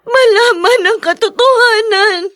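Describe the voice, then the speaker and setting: A soft-spoken, timid young wife, voiced by a woman in a radio drama, her voice high and hushed with worry. The sound is dry and close. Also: a little slow, no echo. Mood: anxious. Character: worried, wronged young wife and mother. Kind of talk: Filipino radio drama acting.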